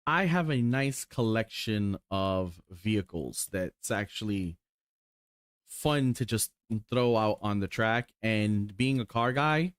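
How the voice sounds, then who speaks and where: Excited rambling man in his forties. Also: on the low side, slow pace, smooth, no echo, clean studio sound. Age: 40s. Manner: rambling, excited, swears casually, lots of ums. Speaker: sim racing streamer. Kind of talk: casual chat while showing cars.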